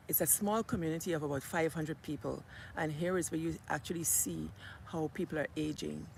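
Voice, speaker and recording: A woman's voice: low-pitched, gravelly, darkish tone, slight background noise. A middle-aged woman with a Guyanese accent chats casually and reflectively to viewers as a vlog host, telling stories in a conversational way. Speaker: vlogger and host. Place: outdoors near home in a village.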